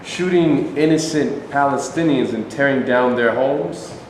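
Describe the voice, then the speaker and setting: A man's voice: mid-range voice, measured pace, distant mic, lightly acted. Guy playing a stubborn indignant arguer in a stage play reading, super echoey hall, noisy recording. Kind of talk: staged reading of scripted dialogue.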